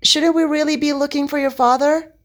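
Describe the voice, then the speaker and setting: A woman's voice: high voice, steady tone, a little fast, pitched above the performer's own voice, lightly acted. A woman voicing a cheeky young male manservant in a story, speaking in a familiar, pleading, teasing way. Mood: flustered.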